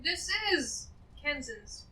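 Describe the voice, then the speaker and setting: A woman's voice: high voice, expressive, slow pace, bright, forceful tone. A woman chatting casually while playing a tabletop roleplaying game. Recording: roomy distant mic with noise.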